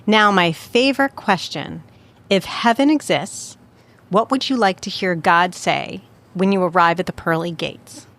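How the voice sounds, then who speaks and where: A woman hosting a podcast in casual chat, bubbly and quick to praise her guest. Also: on the low side, fairly bright tone. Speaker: podcast host.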